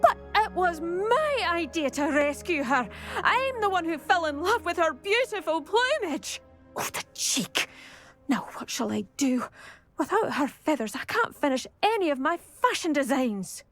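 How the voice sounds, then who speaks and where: A woman's voice: extremely high pitch, big pitch swings, measured pace, a bit bright, faint music behind, exaggerated voice. A woman playing a vain, haughty young fashion lover in a video game, with a dramatic manner. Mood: indignant. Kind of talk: video game character dialogue.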